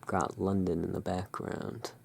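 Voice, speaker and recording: Very slow, low, creaky ASMR voice. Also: level tone, darkish tone, a little hiss or hum. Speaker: host playing a gothic curiosity shop owner. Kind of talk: ASMR roleplay, unscripted card commentary.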